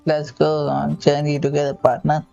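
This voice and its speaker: A gamer reacting casually to a game in a creaky, dark voice. The microphone is dull and lo-fi, picked up over game music. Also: medium pitch, monotone, moderate pace.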